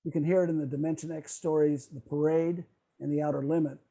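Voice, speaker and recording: Husky, mellow man giving a talk. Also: fairly high pitch, level tone, low-quality recording. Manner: measured, explanatory, stumbles while reading. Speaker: club presenter on old-time radio. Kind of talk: semi-scripted lecture presentation. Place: online video call.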